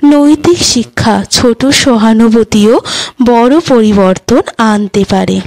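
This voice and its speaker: A woman with a fairly high voice narrates short moral tales for children. Her pace is very slow and deliberate, and she delivers each line as scripted storytelling. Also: darkish tone. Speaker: storyteller and channel host.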